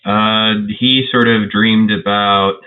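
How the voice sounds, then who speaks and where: A man's voice: fairly low pitch, fairly even intonation, slow, clean tone. A man chatting casually as a tabletop game player over a tinny voice chat.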